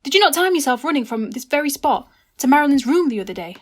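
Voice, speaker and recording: A woman playing a brisk, wry detective questioning suspects in an amateur comedy murder mystery. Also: sits high, very animated. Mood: brisk, probing.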